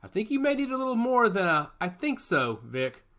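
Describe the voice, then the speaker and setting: A man's voice: high-pitched, big pitch swings, moderate pace, a bit bright, pitched above the performer's own voice, full character voice. A man voicing a confident male soldier who goads his comrade in a familiar way, in a science fiction story. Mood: confident, goading.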